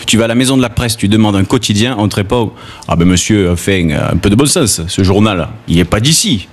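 A man from the Gard with a southern French accent, delivering a humorous radio column with folksy, deadpan asides. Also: lowish voice, a little fast, breathy edge. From Gard, southern France. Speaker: comedian doing a radio column.

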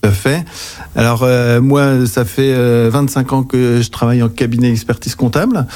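A man in his forties from western France, with a French accent, giving informative interview answers as a company director in a very hesitant, halting way. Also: medium pitch, level tone, darkish tone. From Anjou, western France. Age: 40s. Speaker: accounting agency director, interview guest. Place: interview on site in an office.

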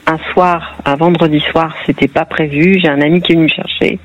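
A middle-aged woman from northern France, a radio caller telling a personal story in a lively way with many fillers. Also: deep voice, fairly quick, lo-fi sound. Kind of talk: telling her love story on a radio show.

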